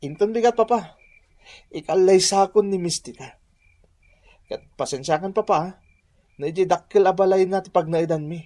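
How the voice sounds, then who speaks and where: A man with an Ilocano Filipino accent playing an eager, lovestruck young gentleman and devoted son in a radio comedy drama. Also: high voice, moderate pace, long pauses, no echo, close to an everyday voice.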